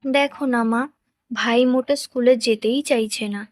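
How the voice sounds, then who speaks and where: Woman voicing a bossy, high-voiced big sister. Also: steady tone, moderate pace, darkish tone, dry recording, studio quality, lightly acted. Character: bossy teasing elder sister, a young girl. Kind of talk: Bengali cartoon voice acting.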